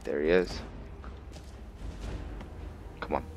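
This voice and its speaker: A man giving unscripted roleplay commentary over a video game, halting and deliberate, with long pauses. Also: faint music behind. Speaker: gamer hosting a roleplay playthrough.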